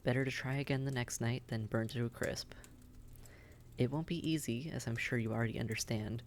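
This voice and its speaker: Guy voicing a friendly vampire innkeeper, creaky gravelly voice, chatty n reassuring advice, audio roleplay, bit of background noise. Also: mid-range voice, steady tone, brisk, close to an everyday voice. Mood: earnest, cautioning. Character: friendly, worldly old-hand vampire innkeeper, reassuring male. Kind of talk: roleplay audio drama, speaking directly to listener.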